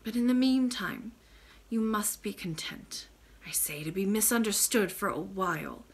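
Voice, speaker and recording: A woman voicing a kind, wise, ancient grandmother in a storybook, gentle, measured and formal. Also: mid-range voice, slightly rough. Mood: patient, tender.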